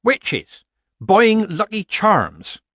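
A shrill, high-pitched witch of high standing, played by a male narrator in a storybook. She is prim, bossy and brittle, with indignant, swooping phrases. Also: huge pitch swings, extremely slow, fairly bright tone, dry recording, clean studio sound, heavily acted. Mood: haughty indignation. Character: haughty, brittle, bossy society witch, a woman.

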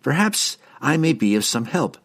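A man voicing a composed, formal female wizard who speaks in measured words. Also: mid-range voice, big pitch swings, fairly bright tone, breathy edge, pitched above the performer's own voice, heavily acted.

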